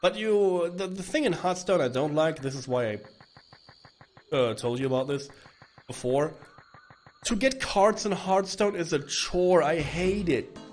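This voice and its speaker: A man with a hoarse, rough voice from bronchitis, chatting casually and rambling to stream viewers while playing a game. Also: on the high side, a little slow, slight background noise. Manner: rambling, chatty, swearing, with frequent restarts. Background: faint game music.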